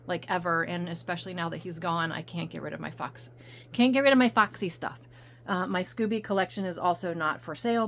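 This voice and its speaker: Woman, middle-aged, hoarse, rambling chatty vlog, lowish voice, faint background noise. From coastal Virginia, US. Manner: rambling, self-correcting, talks to viewers directly. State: voice going hoarse. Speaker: reseller vlogger. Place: home room with piles of stuff. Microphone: phone on a ring light.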